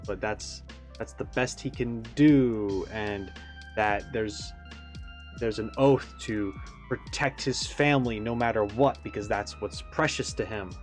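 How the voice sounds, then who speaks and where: Man musing in unhurried, casual commentary. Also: fairly low pitch. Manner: musing, speculative. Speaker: YouTube reaction host.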